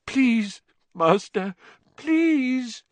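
A snivelling, cringing male servant, played by a man reading a storybook, pitched far up and whimpering each plea. The voice sounds strained and thin, with a dry, close reading sound. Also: lively intonation, very slow, no echo, exaggerated voice. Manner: whimpering, pleading.

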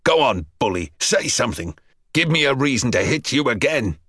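A man's voice: mid-range voice, very animated, moderate pace, bright, forceful tone, slightly rough, dry recording, studio quality, heavily acted. A man voicing a small schoolboy turned hard and menacing in an audiobook story, with a gritty, threatening growl.

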